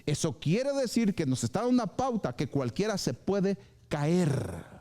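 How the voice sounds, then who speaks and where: High, mellow-voiced man preaching a lively sermon. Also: measured pace. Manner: lively, acts out short dialogues and slips into English phrases. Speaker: pastor. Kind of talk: live sermon. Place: church service.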